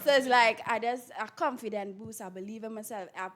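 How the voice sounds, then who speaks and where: A woman's voice: fairly high pitch, brisk. A woman with a Trinidadian accent talking casually and candidly as an actress being interviewed.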